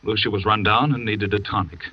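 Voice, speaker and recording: Casting: a defensive, pleading suspect husband, husky voice, dry dull old radio sound. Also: male voice, on the low side, steady tone, a little fast, dry recording. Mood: flustered. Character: man accused of poisoning his missing wife. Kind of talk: old-time radio drama acting.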